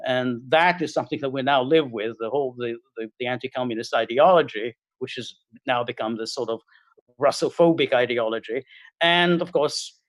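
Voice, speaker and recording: A middle-aged man from Hungary, talking as a guest on a video call and speaking haltingly with many fillers and restarts. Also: mid-range voice, no room sound, rough recording. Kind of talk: casual interview talk.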